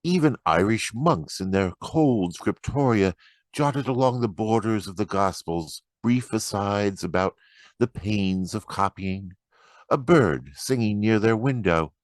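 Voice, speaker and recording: A man recites poetry in a deep register with unhurried, deliberate phrasing. His delivery is dramatic and expressive, captured close and dry. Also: no room sound. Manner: expressive, deliberate, acts out quoted remarks. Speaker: poetry reader.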